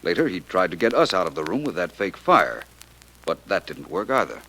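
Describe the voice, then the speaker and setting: Deep-voiced, assured radio detective. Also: male voice, big pitch swings, brisk, bright, forceful tone, full character voice. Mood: calmly reasoning. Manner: smooth, confident, deductive. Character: assured, shrewd male amateur detective, calmly reasoning. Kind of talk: 1940s radio acting. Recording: noisy vintage radio recording.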